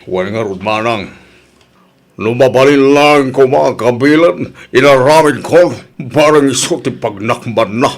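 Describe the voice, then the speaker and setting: A stern middle-aged Ilocano father in a radio drama, acted by a man. His voice sits at a medium pitch. He admonishes with heavy emphasis, like an exasperated parent who expects to be obeyed. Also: unhurried, close to an everyday voice. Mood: weary, complaining.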